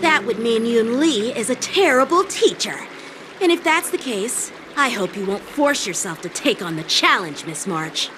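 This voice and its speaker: A woman voicing a composed, gently teasing youthful sword teacher in a video game, polite and encouraging. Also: fairly high pitch, animated, moderate pace, background noise, natural voice.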